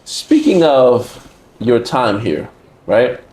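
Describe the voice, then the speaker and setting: An American man speaks as a podcast host in a conversational interview style. His voice sits at a medium pitch, and he acts out the remarks he retells. The room adds a noticeable echo. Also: sing-song, extremely slow, a little mellow. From United States. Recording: roomy echo.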